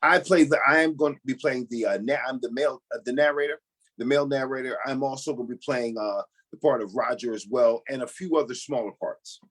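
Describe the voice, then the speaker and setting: A man's voice: medium pitch, a little fast, a bit bright. A middle-aged man, a pastor, giving earnest conversational answers as an interview guest. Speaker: pastor and stage actor being interviewed.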